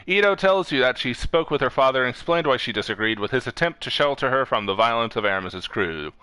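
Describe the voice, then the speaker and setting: A man's voice: mid-range voice, animated, quick pace, sharp tone, clean tone, no room sound. A man streaming a game, talking in an informal, playful way with casual commentary. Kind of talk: casual gaming chat and commentary.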